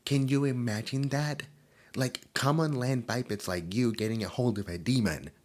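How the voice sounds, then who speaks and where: A man's voice: mid-range voice, moderate pace, voice pushed up, close to an everyday voice. A man voicing a chatty, awkward immortal demon who summons time, rambling with many ums and asides and sometimes dropping to a whisper, in an ASMR roleplay. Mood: gossipy. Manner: rambling and self-conscious, full of ums and asides; drops into soft whispers at times.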